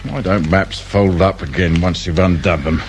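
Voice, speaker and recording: Radio drama detective inspector, male actor: English, middle-aged, brusque and grumbling, deep register, noisy ambience. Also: fairly even intonation, normal speed, natural voice. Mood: dry, irritable. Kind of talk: naturalistic British radio drama acting. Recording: clear background noise and ambience.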